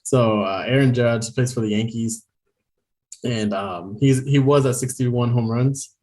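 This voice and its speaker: A young man with a mid-pitched voice talks through sports news in a loose, rambling way. He laughs often and leans on casual fillers as he chats with his co-host. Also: measured pace, darkish tone. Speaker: podcast co-host. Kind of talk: casual chat.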